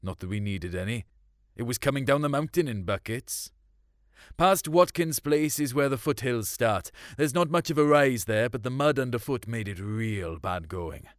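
A man's voice: mid-range voice, expressive, measured pace, dry recording, clean studio sound. A man narrates a short story in the first person, in a colloquial, confiding way.

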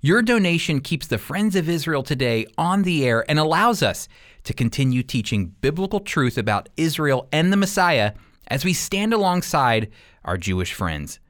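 A man's voice: a little high, sing-song, moderate pace, a bit bright. An American man teaching the Bible as a radio host, speaking earnestly and emphatically in a conversational preaching style. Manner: earnest, emphatic, rhetorical questions.

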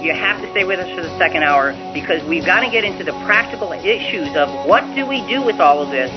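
A high-pitched male talk radio host with an energetic, restless delivery, heard over a dull, lo-fi broadcast with faint music underneath. He often repeats his words as he starts a thought, then rolls on eagerly. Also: quick pace.

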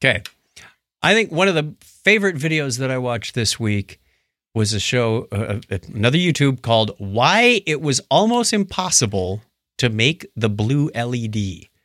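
A man's voice: medium pitch, sing-song, moderate pace, a bit bright, dry recording, clean studio sound. A man co-hosting a podcast in a casual, storytelling way, acting out bits of imagined dialogue as he recounts things. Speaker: podcast co-host. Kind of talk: casual chat, recounting documentaries.

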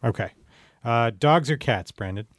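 A man's voice: medium pitch, lively intonation, normal speed, dry recording, clean studio sound. A man hosting a podcast quiz in casual, playful banter with a jokey manner. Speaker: podcast host running a quiz. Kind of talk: casual comedic banter with guests.

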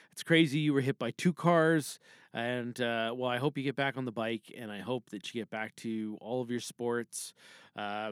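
A man's voice: mid-range voice, fairly bright tone, smooth, dry recording, studio quality. A man podcasting solo in a casual, rambling, self-deprecating way. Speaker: podcast host.

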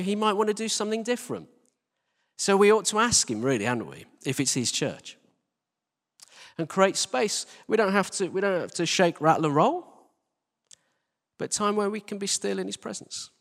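British guy preaching, kinda high voice for a man, earnest and chatty, big echoey church hall. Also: a bit bright. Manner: earnest, conversational, addressing listeners as folks. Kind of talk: informal sermon. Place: large church hall, live service. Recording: reverberant hall.

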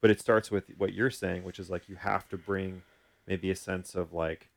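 A man co-hosting a casual talk as a therapist, informal and halting as he thinks aloud. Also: low voice, steady tone, vocal fry. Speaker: podcast host, therapist. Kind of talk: casual chat.

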